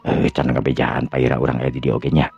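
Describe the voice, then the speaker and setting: A man with a Sundanese accent playing an easygoing husband in conversational radio drama, in a gravelly, husky voice. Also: rumbling low, flat delivery, fairly quick, darkish tone, no echo, voice pushed down, full character voice. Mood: casual. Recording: dull lo-fi recording with music.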